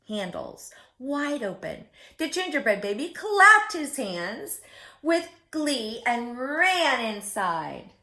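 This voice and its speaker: A woman's voice: sits high, pushed and bright. A woman reading a picture book aloud to young children as a story-time host, theatrical and often putting questions to her listeners.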